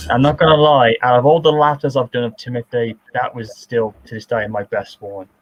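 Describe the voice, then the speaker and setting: A man in his thirties rambles through commentary on his film. His voice comes over a call with slightly muffled, dull audio, at a middle pitch. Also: steady tone, fairly quick, a little hiss or hum. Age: 30s. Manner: rambling, self-described distinctive high voice. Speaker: filmmaker giving commentary. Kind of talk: casual commentary chat.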